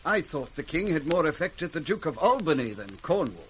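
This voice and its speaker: Courteous British earl, lively intonation. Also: male voice, fairly high pitch, moderate pace, loud music behind the voice. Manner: courteous, formal. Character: courteous, plain-dealing nobleman earl. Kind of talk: 1960s British radio Shakespeare acting. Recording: noisy old radio recording with clicks.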